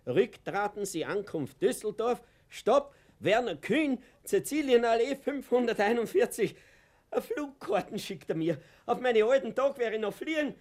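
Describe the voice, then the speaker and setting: A man's voice: extremely high pitch, animated, moderate pace, darkish tone. An actor playing an anxious, humble older man from Vienna, hesitant and pleading, in a German radio play. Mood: excited.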